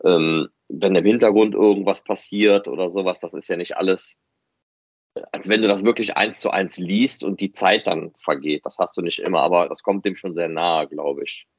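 Low-voiced man in casual podcast chat. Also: fairly even intonation, moderate pace, dry recording. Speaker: fan podcast co-host. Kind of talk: casual explanatory chat about a hobby production. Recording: slightly dull, dry close recording.

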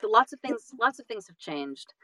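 A woman's voice: fairly high pitch, moderate pace, dry recording, low-quality recording. A woman speaking as a guest audiobook narrator and actor in a casual interview, earnest and effusive, slipping into little acted-out asides. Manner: expressive, acts out little quotes and asides.

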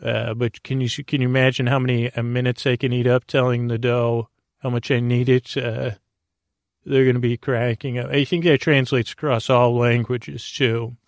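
A man with a creaky voice hosting a bedtime podcast, delivering a halting, meandering, goofy comic monologue. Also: mid-range voice, little pitch movement, no room sound, clean studio sound. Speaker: podcast host.

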